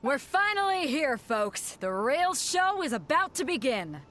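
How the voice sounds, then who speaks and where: A woman's voice: sits high, sing-song, unhurried, fairly bright tone, slight background noise, heavily acted. A woman playing a confident, brash pirate captain in an anime game, breezy and commanding. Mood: rousing. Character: bold, breezy female pirate captain running a tournament. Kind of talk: anime game character acting.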